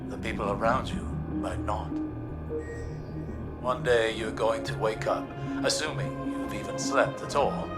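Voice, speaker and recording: An actor playing a weary, reflective scholar who speaks in a measured, gently lecturing way. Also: male voice, lowish voice, natural voice. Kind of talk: video game cutscene dialogue.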